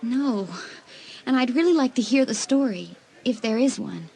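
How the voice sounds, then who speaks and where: A woman's voice: on the high side, expressive, normal speed, gravelly, dark tone, breathy edge, heavily acted. A woman playing a nervous, earnest teenage girl in an audiobook dramatisation, speaking hesitantly. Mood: uneasy, curious.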